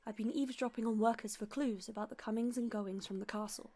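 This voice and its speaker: A woman's voice: on the high side, fairly even intonation, quick pace, mellow, natural voice. A woman playing an earnest, discreet young nun working as an informant in a radio play. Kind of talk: radio play acting, fan production.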